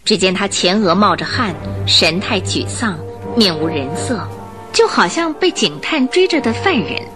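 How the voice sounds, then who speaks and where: Casting: female narrator for radio mystery drama; lively intonation, dramatic storytelling, faint music underneath. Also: mid-range voice, moderate pace. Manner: expressive, suspenseful, rhetorical questioning.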